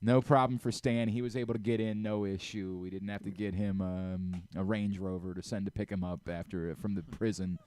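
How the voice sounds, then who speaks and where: Clear-voiced man hosting sports talk radio. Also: fairly low pitch, fairly quick, few pauses, dry recording. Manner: animated storyteller who re-enacts exchanges. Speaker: radio show host. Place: radio studio.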